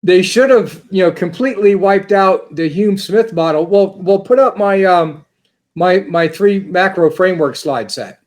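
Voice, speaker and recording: A man's voice: high voice, unhurried. A man speaking as a guest on a talk show, rambling with many repeated words and restarts. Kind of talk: casual interview chat.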